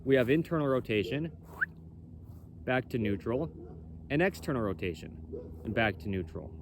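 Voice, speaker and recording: A man with a loud, carrying voice explains things off the cuff. He talks boldly and emphatically, circling back to repeat his points. There is some ambient noise behind him. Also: medium pitch, very animated, moderate pace. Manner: bold, emphatic, repetitive. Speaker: presenter for a clothing company. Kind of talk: impromptu explainer on body mechanics.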